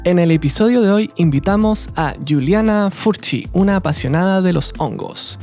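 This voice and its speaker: A woman co-hosting a podcast who reads a scripted introduction in a measured way. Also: fairly low pitch, lively intonation, darkish tone, soft background music. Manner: measured, read-aloud delivery. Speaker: podcast co-host.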